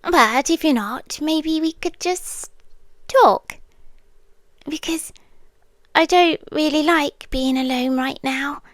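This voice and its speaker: Casting: actress to play young British yandere stalker for ASMR roleplay; high-pitched, slow, soft, hesitant, sweetly obsessive. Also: female voice, no echo, studio quality. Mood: nervous, coaxing. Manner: soft, breathy, hesitant, drifting into whispers. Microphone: close microphone, dry.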